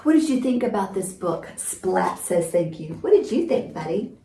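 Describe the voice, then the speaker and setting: A woman's voice: medium pitch, very animated, moderate pace, warm tone. A woman hosting storytime for young children, speaking in a simple, encouraging, teacherly way as she reads and explains. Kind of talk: picture-book reading and explaining to young children. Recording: roomy, distant mic, faint noise.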